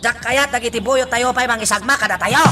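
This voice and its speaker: A domineering gang boss, played by a man in a radio drama, barking in a high male voice with an Ilocano Filipino accent. He is angry and scolding, with music clearly playing behind him. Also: brisk. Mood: angry, demanding. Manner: forceful, scolding.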